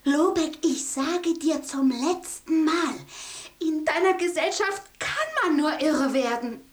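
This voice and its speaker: An actress playing an exasperated wife in a radio drama, declaiming as theatrically as an opera diva. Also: female voice, high-pitched, slow. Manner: melodramatic, declaiming. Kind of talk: German radio drama acting.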